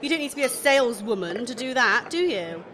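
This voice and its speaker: A woman's voice: a little high, lively intonation, a little fast, background noise, natural voice. A woman acting in a radio play comedy as a chatty, aggrieved young shop assistant, colloquial, gossipy and blunt. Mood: indignant.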